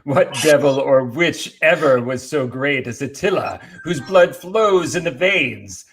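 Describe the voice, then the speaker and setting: A man playing a proud, courtly old vampire count in an amateur dramatic read, speaking formally and deliberately. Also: on the high side, animated, fairly bright tone, soft background music. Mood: proud, indignant.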